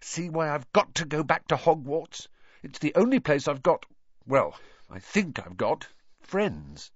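A man's voice: on the high side, very animated, unhurried, breathy edge, dry recording, highs cut off, voice pushed up, exaggerated voice. A man voicing an earnest, impatient schoolboy in an audiobook, questioning in an urgent, plain-spoken way. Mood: bitter, earnest.

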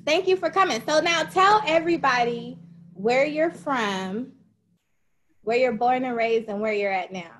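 A woman's voice: high-pitched, a little slow, fairly bright tone. Chatty, laughing woman host. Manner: chatty, repeats "okay?" often, laughs easily. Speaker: host, life coach. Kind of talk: casual upbeat interview hosting.

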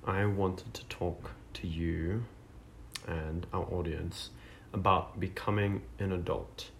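A man's voice: low voice, fairly even intonation, slow, gravelly, slight background noise. A man in his twenties hosting a casual podcast chat, talking with many fillers and restarts. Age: 20s. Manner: hesitant, lots of fillers and restarts. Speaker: podcast host.

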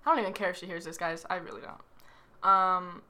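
A woman's voice: on the low side, fairly even intonation, moderate pace, pushed and bright. A tired teenage girl co-hosting a podcast, talking in laid-back, slangy casual chat. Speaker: podcast co-host.

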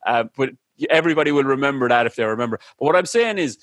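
A chatty Irish man talking on a podcast, rambling along with plenty of fillers. His voice sits at an ordinary middle pitch. The sound is dry and close, with no room in it. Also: lively intonation, very fast, a bit bright, no room sound. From Ireland. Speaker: podcast host. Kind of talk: casual chat.

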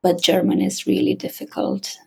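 A woman host whose first language is Romanian, interviewing a guest and answering with frequent soft affirmations. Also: normal speed. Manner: attentive, many soft affirmations, some restarts. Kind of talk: conversational interview.

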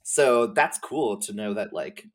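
Halting, informal man chatting as a guest. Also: lowish voice. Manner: halting, informal, peppered with slang and swearing. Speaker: podcast guest. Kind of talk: casual chat about video game lore.

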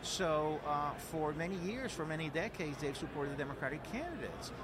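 A man's voice: on the high side, animated, normal speed, background noise. A man from Puerto Rico, a guest being interviewed, speaking earnestly with frequent fillers and repeated words. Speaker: guest, political director. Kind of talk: conversational interview. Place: outdoor event.

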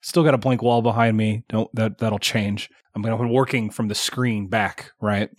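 A man's voice: fairly low pitch, steady tone, moderate pace, dry recording. A man co-hosting a casual podcast, recovering from a chest cold, rambling through a story and chuckling often. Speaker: podcast co-host. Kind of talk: casual chat.

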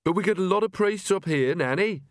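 A man's voice: high voice, brisk, dry recording, clean studio sound, pitched above the performer's own voice, natural voice. A man voicing Agnes, a mild, sensible young woman, in an audiobook. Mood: reasonable.

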